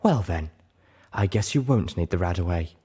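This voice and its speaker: A man's voice: low-pitched, expressive, moderate pace, warm tone, breathy edge. A man voicing a female pony medic with a snappy, exasperated, scolding manner. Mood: casual.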